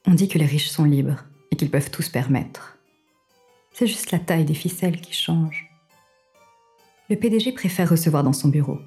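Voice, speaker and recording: A deep, mellow female storyteller, played by an actress, narrates a dystopian tale with cool detachment. Her delivery is formal, measured and quietly ironic, with faint music underneath. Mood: wry, detached. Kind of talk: scripted literary narration.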